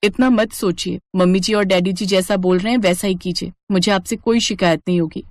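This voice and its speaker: A woman's voice: medium pitch, steady tone, fairly quick, a little mellow, dry recording, natural voice. A woman voicing a sweet, plucky young Indian daughter-in-law in a cartoon drama, pleading yet cheeky. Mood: playful, tender. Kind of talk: Hindi cartoon family drama acting.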